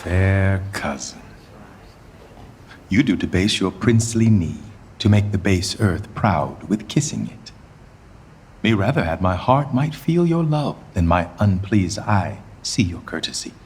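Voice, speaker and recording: A man's voice: low voice, expressive, measured pace, darkish tone, slight background noise. A man acting a grieving, bitterly ironic king facing deposition in a Shakespearean radio play, with brooding, theatrical verse delivery. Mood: resigned irony.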